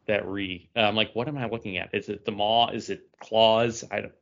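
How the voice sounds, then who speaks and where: Male co-host, slightly low voice, casual critical review talk, lo-fi muffled recording. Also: fairly even intonation, moderate pace, a bit bright, a little hiss or hum. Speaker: podcast co-host.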